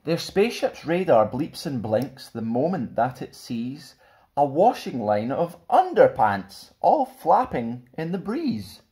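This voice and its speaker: A young man presenting and reading for children in an expressive storytime style, recorded indoors at about arm's length from the camera. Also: mid-range voice, takes it slowly. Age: young adult. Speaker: storytime host reading a picture book. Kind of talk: expressive children's read-aloud with friendly presenting. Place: small plain indoor room.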